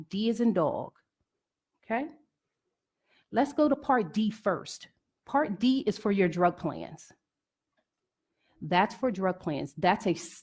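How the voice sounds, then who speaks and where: A woman giving an informal educational talk as a presenter, explaining step by step with emphasis and checking that listeners follow. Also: on the low side.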